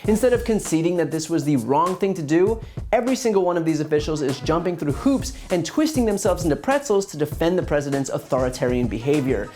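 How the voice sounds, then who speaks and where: A man's voice: a little high, animated, fast, mellow. A man delivering scripted political commentary as a host, in a pointed, sardonic manner. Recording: music under the voice. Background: music bed.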